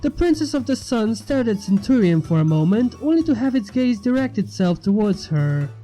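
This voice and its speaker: A man reading a story aloud as a narrator, with expressive delivery. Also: sits high, normal speed. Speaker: fan fiction narrator and channel host. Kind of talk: scripted story narration over music. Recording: music under the voice. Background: background music.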